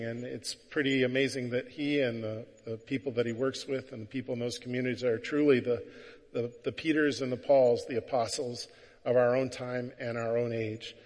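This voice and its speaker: Male priest, relaxed introduction, echoey church. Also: mid-range voice. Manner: relaxed, with filler words. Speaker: parish priest. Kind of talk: introducing a guest speaker at Mass.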